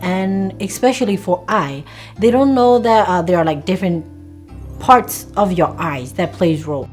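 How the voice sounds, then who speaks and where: A young woman, a native Karen speaker from Thailand, describing her work as a community health worker. Her voice sits fairly low, and she pauses often with "uh" as she searches for words. Faint music plays behind her. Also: a little mellow. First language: Sgaw Karen. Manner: halting, with many "uh" fillers. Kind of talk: interview.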